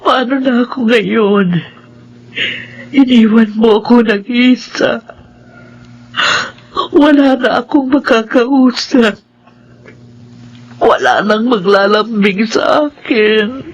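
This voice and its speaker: A woman's voice: on the high side, slow pace, long pauses, highs cut off. An actress with a Filipino accent playing an anguished, grieving mother in a melodramatic radio horror drama. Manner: melodramatic, tearful.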